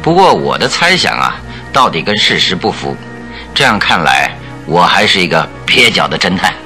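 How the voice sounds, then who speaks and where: A deep-voiced, theatrical detective. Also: male voice, sing-song, normal speed, bright, forceful tone, soft background music, full character voice. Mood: rueful. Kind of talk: Mandarin radio drama acting.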